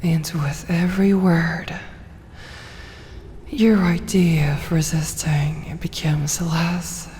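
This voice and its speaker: Vampire woman, played by an actress, ASMR roleplay: low, dark whisper, hypnotic, doting but commanding. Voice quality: breathy, whispered. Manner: slow, coaxing, commanding yet doting. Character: possessive, seductive vampire woman mesmerizing her prey. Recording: long hall reverb, faint hiss and ambience.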